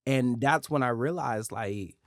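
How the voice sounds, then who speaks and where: A man's voice: medium pitch, measured pace, dry recording, clean studio sound. Earnest man hosting a podcast. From United States. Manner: earnest, emphatic, repeats words. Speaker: podcast host. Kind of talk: casual interview chat.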